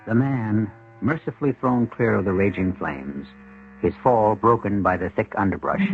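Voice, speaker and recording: An actor narrating a 1970s radio mystery as a grave storyteller, solemn, measured and dramatic. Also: male voice, sits low, vocal fry, phone-quality sound, a little hiss or hum. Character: grave, ominous male storyteller. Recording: thin, humming old radio broadcast.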